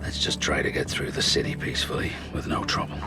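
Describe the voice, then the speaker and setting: Very deep, gruff mutant leader. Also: male voice, monotone. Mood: cautious. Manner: slow, sober, measured. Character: big, cautious, steady male mutant leader. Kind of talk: video game character acting. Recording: loud music under the voice.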